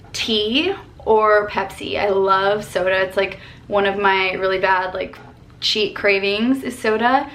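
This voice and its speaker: A young woman in her twenties from Colorado answers questions in a relaxed, upbeat vlog chat, sprinkling in "like" and "um". She sits in her bedroom, speaking to a camera at desk distance. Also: mid-range voice, a little hiss or hum. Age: 20s. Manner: chatty, upbeat, lots of "like" and "um". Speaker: vlogger. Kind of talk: casual Q&A vlog.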